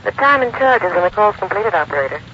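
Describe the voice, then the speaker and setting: An old hissy radio recording has a bright, forward female long-distance operator, played by an actress. She is brisk and polite. Her phrases are clipped and sing-song, like routine procedure in a vintage drama. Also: mid-range voice, lively intonation, lo-fi sound, lightly acted. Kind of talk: 1940s radio drama acting.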